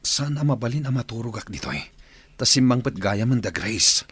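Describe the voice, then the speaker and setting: A man's voice: medium pitch, expressive, moderate pace, a bit bright, breathy edge. A man playing an indulgent, easily flustered cheating husband in an Ilocano radio comedy drama, with an Ilocano accent.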